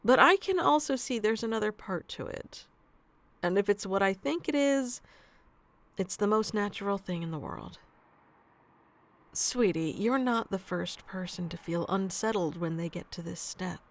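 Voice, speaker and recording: A woman's voice: mid-range voice, expressive, a little slow. A woman playing a sweet, nurturing AI relationship coach in an ASMR roleplay, speaking tenderly and reassuringly to one listener. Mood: warm, encouraging.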